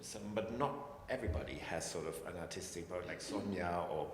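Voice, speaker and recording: A man with a lowish voice thinks aloud on a panel, hesitant and searching, often restarting his sentences. The sound is roomy with a long hall reverb and a faint hum of ambience behind. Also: fairly quick. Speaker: scientist and panelist. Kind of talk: reflective panel talk. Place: large echoey hall.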